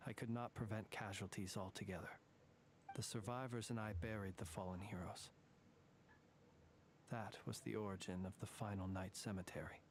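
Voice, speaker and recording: A man voicing an ancient male fae in human guise in a video game, calm and formal, who sometimes drops to near whispers. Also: fairly low pitch, moderate pace, a little hiss or hum, close to an everyday voice. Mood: solemn. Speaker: lightkeeper guard.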